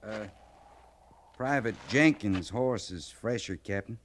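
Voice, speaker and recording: An actor playing a reluctant, folksy army sergeant in an old-time radio western. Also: male voice, lowish voice, slow pace. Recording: noisy vintage radio recording.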